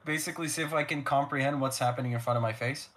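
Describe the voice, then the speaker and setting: Male tabletop player, animated, joking, casual, mouthy. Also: mid-range voice, racing.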